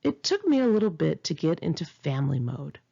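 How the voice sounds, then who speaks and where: Expressive, gravelly woman reading. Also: sits low, fairly quick, highs cut off. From United States. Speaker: author reading own memoir. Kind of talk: memoir audiobook reading.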